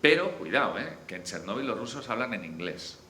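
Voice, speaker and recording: A man with a Spanish accent from Spain speaks on a discussion panel, unscripted, often halting and restarting his phrases. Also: on the low side, very animated, bright, forceful tone. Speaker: panelist, series director. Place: live forum venue. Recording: echoey room, faint background noise.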